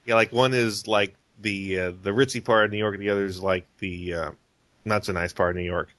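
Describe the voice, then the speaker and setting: A man's voice: on the low side, steady tone, fairly quick, a bit bright, no room sound. Rambling man in a dry room. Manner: rambling, many fillers and restarts. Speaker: podcast host. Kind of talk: casual chat about animated films.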